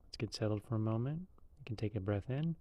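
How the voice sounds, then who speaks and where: A man leading a guided meditation in a calm, unhurried, loosely conversational way. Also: lowish voice, a little hiss or hum.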